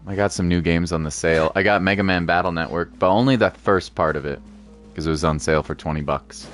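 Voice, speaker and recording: Male game streamer, low register. Informal, chatty, thinks out loud over faint game music. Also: fast talker. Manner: informal, rambling, thinking aloud, occasional playful exclamations. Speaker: gaming livestream host. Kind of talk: casual livestream gameplay commentary, chatting with viewers.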